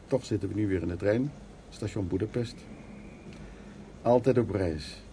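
An older male actor playing a stubborn, world-weary but fond war survivor in a radio drama, plain-spoken, with a husky, creaky voice. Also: on the low side, normal speed, warm tone, slight background noise, lightly acted. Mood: fond, reflective. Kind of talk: radio drama acting, reminiscing and intimate dialogue.

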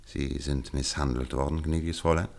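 A shrewd detective in a radio drama, with a low voice. He is courteous yet probing, weighing each question in a measured, thoughtful manner. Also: fairly even intonation, gravelly. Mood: courteous, insistent. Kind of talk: German radio play acting.

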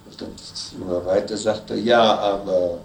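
A man recollecting haltingly and spontaneously, in fragments, reenacting dialogue as he goes, on an old tape recording. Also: on the low side, fairly even intonation. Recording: distant, echoey, noisy archival tape.

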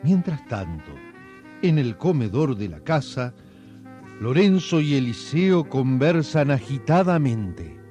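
An actor narrating a domestic radio melodrama as a wry, solemn storyteller with a measured delivery. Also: male voice, medium pitch, big pitch swings, mellow, soft background music. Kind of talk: scripted radio drama narration.

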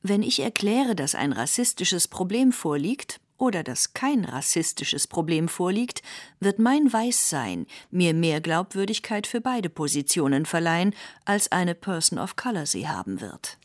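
A woman reading quoted passages aloud in a radio essay, calm and deliberate. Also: fairly low pitch, dry recording, clean studio sound. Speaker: quotation reader.

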